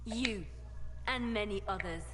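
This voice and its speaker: An actress playing a shrewd, measured woman spymaster who speaks calmly. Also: mid-range voice, close to an everyday voice. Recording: noisy game audio.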